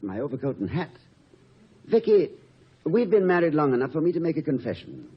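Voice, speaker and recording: Muffled, witty college president. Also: male voice, on the high side, very animated, a little fast, husky. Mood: genial, playful. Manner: erudite, dry, wordy. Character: urbane, witty, good-humored male college president. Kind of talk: 1950 radio comedy acting. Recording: muffled old radio recording, light noise.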